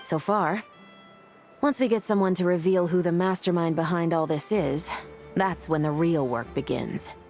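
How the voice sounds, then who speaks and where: A woman playing a stern, confident young special-forces officer in a video game, crisp, commanding and matter-of-fact. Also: on the low side, moderate pace, darkish tone, soft background music, natural voice. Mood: businesslike. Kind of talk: video game character dialogue.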